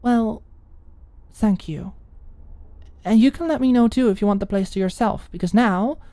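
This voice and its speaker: A woman's voice: mid-range voice, moderate pace, mellow, dry recording, pitched above the performer's own voice, natural voice. A woman voicing a proud, prim young woman in an audiobook, defensive yet smitten. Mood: proud, polite. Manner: scoffing, earnest, giggly on the phone. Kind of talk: audiobook character dialogue.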